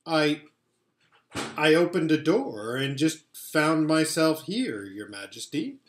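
A man's voice: on the high side, sing-song, slow pace, slight background noise. A man voicing a timid, confused young boy in a storybook, meek and deferential. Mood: hesitant, polite. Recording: roomy indoor home recording.

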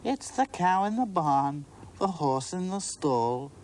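A man's voice: very animated, takes it slowly, darkish tone, full character voice. A man doing a girl's eerie chant. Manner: high, eerie sing-song chant. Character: young girl chanting a playground ghost game.

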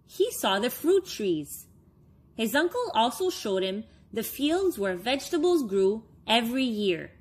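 A woman in her thirties reading a children's storybook aloud, expressively and with deliberate phrasing for young listeners. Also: a little high, sing-song, husky. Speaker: story time reader for children.

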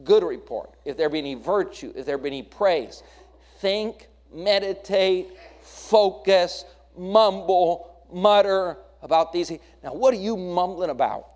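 An American man delivers a sermon in a fairly high-pitched voice. He exhorts his congregation as their pastor, putting questions to them and driving points home with repeated phrases and lists. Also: a little slow, a little mellow.

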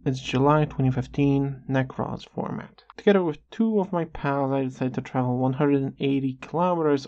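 A video creator narrating a scripted personal story in a measured, slightly halting way with dry sarcasm, on a dull lo-fi recording. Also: medium pitch, mellow.

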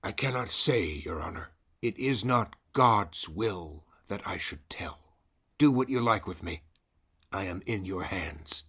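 A man's voice: low voice, lively intonation, a little slow, sharp tone, husky, exaggerated voice. A man voicing a weary old male prisoner who speaks in a guarded, bitter way. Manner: guarded, bitter, rises when stirred.

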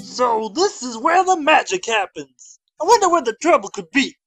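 A sly cartoon shopkeeper, male and very high-pitched. He is slick and folksy, swooping through his hustle over faint music. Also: big pitch swings, normal speed, creaky, heavily acted. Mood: puzzled. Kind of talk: cartoon fandub acting.

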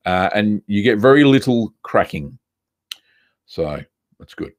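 An older man with an Australian accent, from South Australia, hosting a casual live question-and-answer stream from a home studio, chatty and relaxed with plenty of ums and uhs. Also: on the low side, measured pace. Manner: relaxed, chatty, many ums and uhs, reads chat aloud. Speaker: livestream host answering viewer questions. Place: home studio room with bookshelves, indoors.

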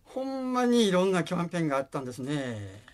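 Actor as bantering male scrivener, Kansai accent, animated pitch, radio comedy. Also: fast talker. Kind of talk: comic radio drama acting.

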